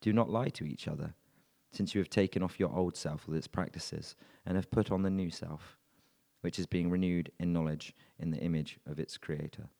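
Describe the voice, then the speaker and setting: A man reading scripture and leading prayer in a measured, earnest way at a church service. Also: deep voice, fairly even intonation, vocal fry, dark tone. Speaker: scripture reader and prayer leader. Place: church hall. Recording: long reverb of a church hall.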